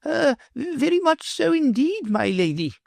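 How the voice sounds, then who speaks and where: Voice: high voice, very animated, unhurried, creaky, no echo, clean studio sound, pitched above the performer's own voice, exaggerated voice. A storybook reader voicing a meek, flustered old male professor who talks hesitantly.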